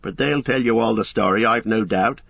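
A man voicing a stout older hobbit farmer with a gruff, rustic manner. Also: on the low side, normal speed, no echo, dull audio.

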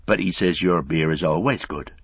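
A man voicing a loyal, plain-spoken and excitable young hobbit servant in a storybook, with blunt, homely speech. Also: low voice, moderate pace, breathy edge, dry recording, dull audio. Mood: wry. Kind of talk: audiobook character acting.

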